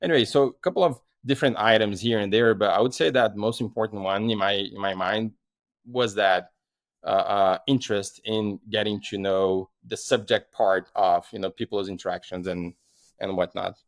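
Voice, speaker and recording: A man's voice: on the low side, normal speed, no echo. A man with a Brazilian accent, a guest founder talking in a casual podcast interview, rambling with lots of fillers and restarts.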